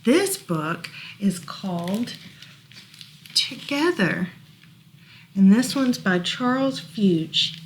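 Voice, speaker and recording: Unhurried woman reading picture books aloud. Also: mid-range voice, sing-song, a little hiss or hum. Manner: gentle, unhurried, explaining pictures to little listeners. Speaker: children's librarian reading storytime. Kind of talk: read-aloud picture books for small children.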